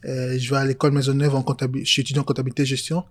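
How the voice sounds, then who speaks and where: A man in his twenties from Congo, talking casually as an interview guest, relaxed and hesitant with many fillers. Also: medium pitch, very fast. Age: 20s.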